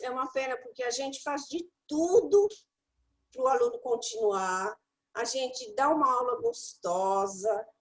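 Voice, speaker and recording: A woman's voice: on the high side, unhurried. A middle-aged woman with a Brazilian Portuguese accent, a guest English teacher telling her stories emphatically in casual conversation. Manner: emphatic, storytelling.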